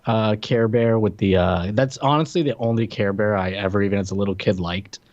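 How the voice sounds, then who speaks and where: A man's voice: fairly low pitch, a little fast, darkish tone, dry recording, muffled recording. A man in his thirties or forties from Puerto Rico talks casually as a podcast co-host, wry and a bit hesitant. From Puerto Rico, later United States. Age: 30s to 40s. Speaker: podcast co-host and film reviewer. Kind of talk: casual unscripted film discussion.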